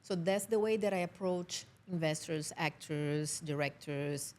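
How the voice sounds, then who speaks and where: A Portuguese-speaking woman from Brazil with a Brazilian accent, a film producer talking casually in a podcast interview and pausing to search for English words. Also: deep voice, a little mellow. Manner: halting, searching for English words, laughs often.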